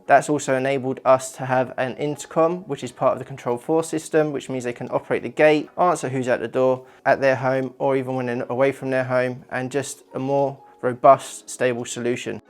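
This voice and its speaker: A man, an installer informally explaining his work in a presenter's walkthrough for a company channel. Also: mid-range voice.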